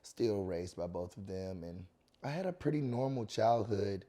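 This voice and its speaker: A young man with a raspy, somewhat low voice. He talks in a relaxed, easygoing way as an interview guest, trailing off into fillers and laughing often. His delivery sounds unhurried and conversational. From North Carolina, USA. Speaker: guest singer. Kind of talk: casual interview chat.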